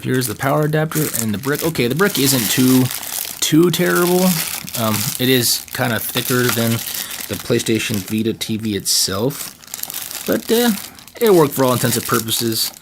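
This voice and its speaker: Raspy male vlogger, offhand unboxing chatter, jokey asides, faint hiss behind. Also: medium pitch. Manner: joking asides, frequent fillers and restarts. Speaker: channel co-host doing an unboxing. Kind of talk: casual unboxing commentary. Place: home gaming room.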